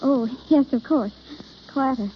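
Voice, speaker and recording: High-pitched, eager young amateur actress. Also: female voice, slight background noise. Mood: nervous, emotional. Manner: breathlessly eager, halting, earnest. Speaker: actress in a radio play. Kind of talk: old-time radio drama acting. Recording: dull vintage radio recording.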